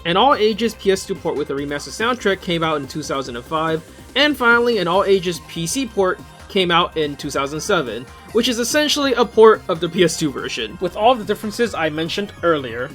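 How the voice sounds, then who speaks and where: A man's voice: sits high, sing-song, fairly quick, fairly bright tone. A man presenting a scripted video review in an informal, fan-like way. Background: game soundtrack music.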